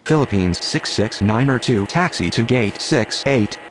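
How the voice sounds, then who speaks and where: A man's voice: medium pitch, animated, unhurried, a little hiss or hum, natural voice. A man voicing a businesslike airline pilot and air controller in a game, speaking clipped, matter-of-fact radio phraseology.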